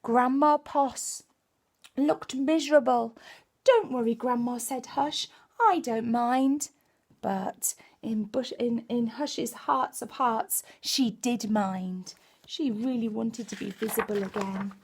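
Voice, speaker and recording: Husky, high-pitched woman reading to children. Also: slow. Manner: expressive, exclaiming, with gasps and hushed dramatic moments. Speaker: primary school teacher reading a picture book to children. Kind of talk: animated children's story reading with chatty asides.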